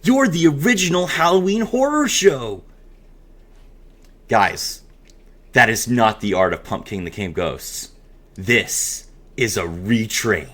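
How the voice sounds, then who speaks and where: Animated man with wide-swinging pitch. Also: slow, pauses often. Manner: animated, theatrical, emphatic. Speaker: content creator hosting a card game reaction video. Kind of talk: casual commentary mixed with dramatic reading aloud.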